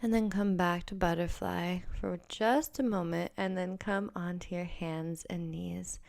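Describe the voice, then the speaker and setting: A woman instructor guiding a yoga class with a soft, breathy, gentle delivery. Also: on the low side, steady tone, measured pace.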